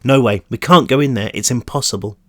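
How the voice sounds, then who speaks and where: Hushed, urgent boy inmate, put on by a male reader, croaky, dry close sound. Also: mid-range voice, a little fast, no room sound, natural voice. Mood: blunt. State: croaky, losing his voice. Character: young male prisoner boy, secretive and urgent.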